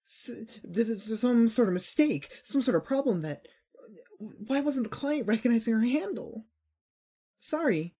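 A performer narrating dramatic second-person fiction as an anguished, urgent storyteller with a breathy voice. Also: mid-range voice, mellow. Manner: intense, urgent, emotionally swinging. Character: anguished second-person narrator telling a frantic girl's awakening.

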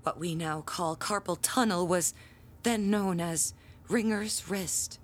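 An actress playing a proud, pompous handbell ringer, telling family lore in grandiose audio drama style. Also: female voice, fairly low pitch, slow pace. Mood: solemn pride. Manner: grandiose, theatrical storytelling.

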